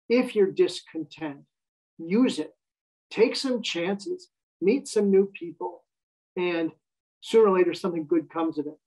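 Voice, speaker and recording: A man's voice: sits high, rough recording. An American man in his fifties, a guest telling his life story in a wry, self-deprecating way. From United States. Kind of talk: casual interview conversation.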